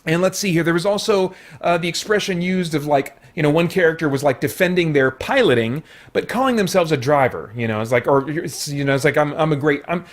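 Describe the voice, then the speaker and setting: A man hosting a casual spoken movie review, rambling along with jokey, animated asides. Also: fairly high pitch, a little fast, hardly any pauses. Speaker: film reviewer and host.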